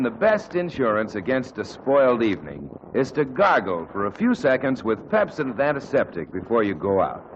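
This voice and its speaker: A middle-aged man announcing a 1940s radio show and reading a commercial in a smooth, persuasive salesman style. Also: medium pitch, slight background noise. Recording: muffled 1940s radio broadcast with music.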